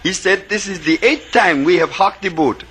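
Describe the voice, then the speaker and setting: Earnest, high-voiced boat hand. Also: male voice, normal speed, a bit bright, slight background noise. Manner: polite, literal, formal phrasing. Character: earnest, loyal male boat hand sidekick. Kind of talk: 1940s radio acting.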